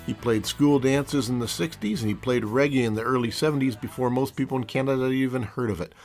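A man's voice: medium pitch, fast. A man hosting a music interview show, introducing his guest and asking questions with some hesitant restarts. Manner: friendly, hesitant, restarts phrases. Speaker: podcast host.

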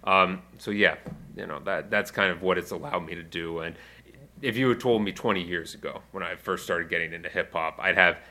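Low-voiced, bright-toned male radio host. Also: brisk. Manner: relaxed, rambling with fillers. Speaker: radio show host and music coordinator. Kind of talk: casual radio chat.

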